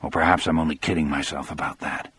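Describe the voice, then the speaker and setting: A man narrating a dramatic first-person audiobook as a grief-stricken elderly former prison guard, in a measured, somber way. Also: rumbling low, steady tone, a bit bright, husky, dry recording, heavily acted. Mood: somber, haunted.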